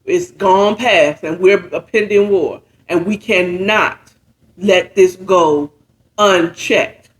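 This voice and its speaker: A high-voiced American man tells a story informally, as a host recapping it with commentary. He takes his time, uses plenty of slang, and checks in with rhetorical questions as he goes. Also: takes it slowly, poor audio. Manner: acts out characters' dialogue. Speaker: host retelling and reacting to a story.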